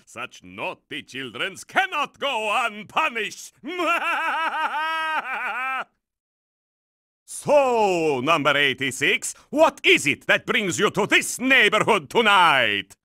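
Creepy cartoon count, scolding villain, high-pitched, drawn-out, swooping theatrical menace. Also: male voice, very animated, sharp tone, no room sound, clean studio sound, full character voice. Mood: gleefully sinister. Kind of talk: cartoon video game acting.

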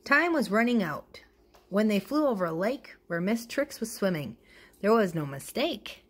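A woman reading a children's picture book aloud in an expressive way, acting out the dialogue. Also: mid-range voice, normal speed, breathy edge. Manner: expressive, acts out character dialogue. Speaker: storybook reader.

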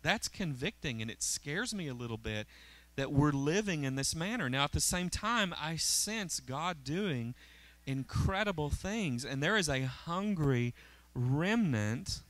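A middle-aged man preaches from a church stage, holding a handheld microphone. His voice is bright and forceful and sits a little high. He keeps the sermon conversational and keeps checking in with the congregation. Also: lively intonation, measured pace, dry recording. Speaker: pastor. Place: church auditorium stage, indoors. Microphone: handheld mic.

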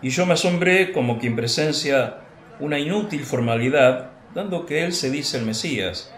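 A man playing a proud, defensive disciple in a radio drama, talking forcefully and almost arrogantly. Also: a little high, lively intonation, moderate pace, natural voice. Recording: echoey room.

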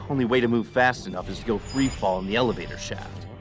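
A man's voice: fairly low pitch, fairly quick, lightly acted. A man voicing a young, sarcastic, impatient futuristic superhero in a video game fandub. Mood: sardonic, impatient. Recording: game music behind the voice.

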